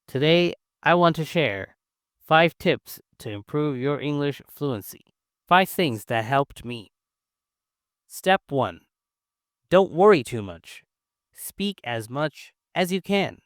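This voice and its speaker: A young man from China with a Chinese accent, whose first language is Mandarin, teaching English in an encouraging, clear and simple way. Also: fairly high pitch, expressive, slow pace, no echo, studio quality. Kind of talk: scripted English-learning lesson.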